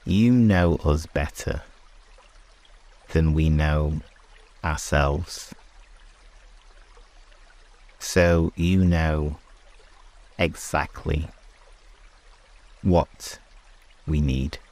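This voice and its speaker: A man hosting a sleep meditation, speaking in short, hushed phrases with long pauses between them, as in guided prayer. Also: rumbling low.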